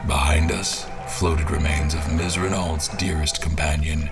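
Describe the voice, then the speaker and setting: An actor playing a solemn explorer, narrating a fantasy audio drama in the first person with grave, literary delivery. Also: male voice, rumbling low, fairly even intonation, unhurried, gravelly, loud music behind the voice, exaggerated voice. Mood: somber awe. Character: solemn male explorer recounting his dark expedition.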